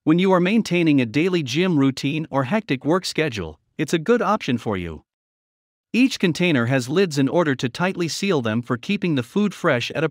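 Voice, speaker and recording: A man's voice: fairly high pitch, dry recording, clean studio sound. A man narrating a scripted product review list as a voice-over.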